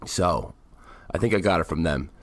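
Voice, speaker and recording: Low, raspy man with a flu. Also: normal speed, a loud crowd. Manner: rambling, restarts sentences often. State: hoarse from flu. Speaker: podcast co-host. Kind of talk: casual political chat.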